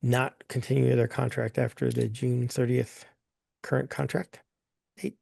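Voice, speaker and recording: A hoarse man chairing a board meeting, talking plainly with many fillers and restarts. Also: mid-range voice, little pitch movement, unhurried, a little mellow, no room sound, studio quality. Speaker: governing council chair.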